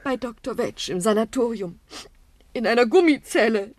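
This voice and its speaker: Swooping high-voiced devoted heroine. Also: female voice, expressive, moderate pace, darkish tone. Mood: explaining, earnest. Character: devoted, anxious young woman, sleuth's plucky companion. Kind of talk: 1960s German radio crime drama acting.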